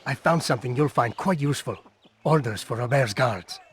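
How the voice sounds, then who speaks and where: A man's voice: medium pitch, brisk, lightly acted. A man playing a helpful assassin informant in a video game. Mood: pleased, businesslike. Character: helpful male assassin informant offering intelligence.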